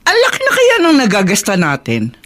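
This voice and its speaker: A woman's voice: mid-range voice, huge pitch swings, brisk, fairly bright tone. An actress in a Filipino radio drama playing an anxious, pushy mother, insistent and fretful. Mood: concerned.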